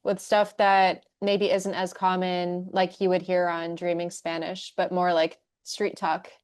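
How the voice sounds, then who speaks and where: A woman's voice: fairly low pitch, level tone, unhurried, clean tone. Woman host asking curious questions. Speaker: podcast host, English teacher. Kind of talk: casual interview chat.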